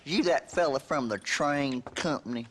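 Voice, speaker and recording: An actor playing a hot-headed, slow-witted frontier deputy in a Western game, with a belligerent American Southern drawl. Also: male voice, mid-range voice, a little hiss or hum, natural voice. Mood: aggressive. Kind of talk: Western video game acting.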